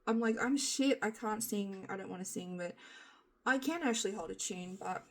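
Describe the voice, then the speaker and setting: A middle-aged woman with a husky, slightly nasal voice talks straight into a phone she holds in her hand. She is hoarse from being sick, rambles along in casual vlog chat, swears offhandedly and pokes fun at herself. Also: mid-range voice, normal speed. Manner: rambling, frank, self-deprecating, swears casually. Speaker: vlogger. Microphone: handheld phone camera.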